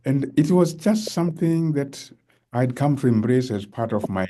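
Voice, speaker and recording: A man hosts a casual interview and talks with open enthusiasm, often filling pauses with "you know." His voice is mellow and sits in a middle pitch. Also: animated, a little hiss or hum. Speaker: host. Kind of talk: interview chat.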